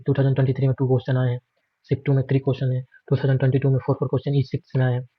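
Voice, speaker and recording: An Indian man speaks as an exam prep instructor in a quick, monotone delivery. He runs through lists in an informal, matter-of-fact way, dropping in English terms. The recording is slightly muffled and lo-fi. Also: medium pitch, slightly rough, no room sound. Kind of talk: informal screen walkthrough lesson.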